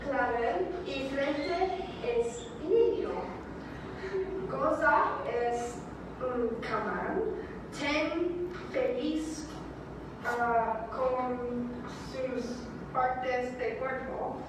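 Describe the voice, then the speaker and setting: A woman student presenting a poem analysis in class, halting and pausing like a learner. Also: high voice. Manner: halting learner reading with pauses. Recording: echoey classroom, distant mic, noisy.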